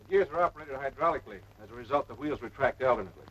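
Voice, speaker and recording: Seasoned wartime officer, dull old soundtrack. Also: male voice, mid-range voice, animated, a bit bright, breathy edge. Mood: instructive. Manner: instructive, measured, authoritative. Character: seasoned, patient air force officer instructing a green pilot. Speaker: actor in a wartime training film. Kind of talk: 1940s military training film acting. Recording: noisy dull vintage film soundtrack.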